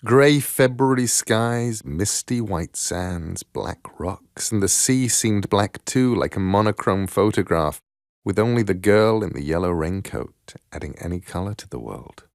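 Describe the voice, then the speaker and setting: Deep, gravelly man reading a story. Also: expressive, measured pace, no room sound, clean studio sound. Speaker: storyteller, narrator. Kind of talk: dramatic reading of a short story.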